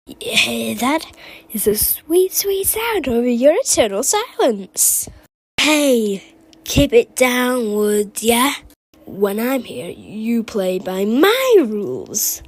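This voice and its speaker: Woman as a bossy, gravelly musical animatronic. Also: fairly high pitch, exaggerated voice.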